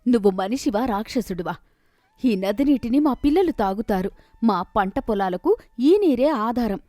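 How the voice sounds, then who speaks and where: A woman's voice: on the high side, expressive, moderate pace, dark tone, soft background music, natural voice. A woman voicing an indignant village mother who accuses and demands with passion in a cartoon story. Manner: accusing, impassioned.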